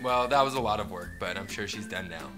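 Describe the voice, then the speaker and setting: A man's voice: fairly low pitch, fast talker, music in the background. A man in his thirties, a comedian co-hosting a story show with playful banter. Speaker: co-host, comedian. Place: small room, indoors, seated on a sofa.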